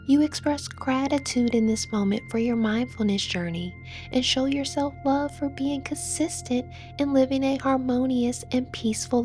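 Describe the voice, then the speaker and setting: A woman leading a guided meditation in a soothing manner, with phrases that trail off into a breathy near-whisper. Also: a little high, darkish tone. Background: music.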